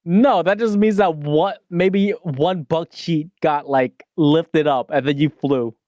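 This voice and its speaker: Male streamer co-host, teasing and quick, dry close mic. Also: a little high, darkish tone, no echo, dull audio. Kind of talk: casual stream banter.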